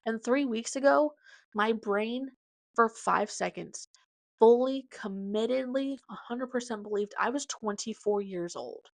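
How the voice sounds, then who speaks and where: A woman's voice: a little high, unhurried, gravelly, dry recording. Chatty twenty-something woman, dry close sound. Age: 20s. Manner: chatty, animated, hops between topics with slang. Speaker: podcast host. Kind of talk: casual personal update monologue.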